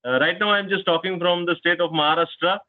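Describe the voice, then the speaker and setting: Man hosting, halting speech full of fillers, thin phone-like dry audio. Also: high voice, steady tone, dry recording. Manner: hesitant, many fillers, tag questions like "right?". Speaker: channel host, conversation partner. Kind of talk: casual English practice chat. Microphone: online call. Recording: tinny dry phone-like call line.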